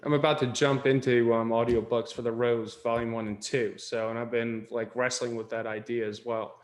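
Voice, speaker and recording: A man author chatting on a panel, with a flat, even delivery. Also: mid-range voice, moderate pace. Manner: self-described monotone. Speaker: author guest. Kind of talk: casual panel chat.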